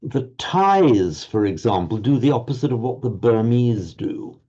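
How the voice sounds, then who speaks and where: A man teaching Sanskrit pronunciation in an online group lesson, halting and restarting words, encouraging his students. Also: mid-range voice, big pitch swings, mellow, husky, muffled recording.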